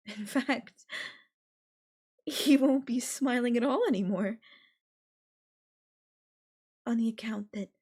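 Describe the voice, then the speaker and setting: Slow-talking, possessive yandere girlfriend played by a woman. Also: fairly high pitch, darkish tone, breathy edge. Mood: smug, possessive. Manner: slow, coaxing, affectionate talk with veiled threats. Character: possessive yandere girlfriend, sweetly menacing captor, adult woman. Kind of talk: intimate audio roleplay addressed to the listener.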